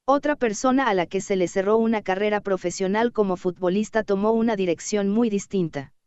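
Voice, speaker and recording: A woman narrating an audiobook in a steady, even reading voice. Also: mid-range voice, a little fast, clean tone, no room sound, highs cut off.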